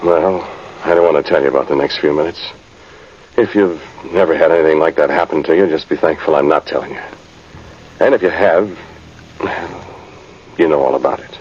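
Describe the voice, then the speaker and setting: An actor playing a plain-spoken, weary cavalry trumpeter in a radio drama, with a folksy, reflective, hesitant way of talking. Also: male voice, very deep voice, a little fast, long pauses, darkish tone, breathy edge. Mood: somber. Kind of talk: first-person radio drama narration. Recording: muffled, noisy vintage radio recording.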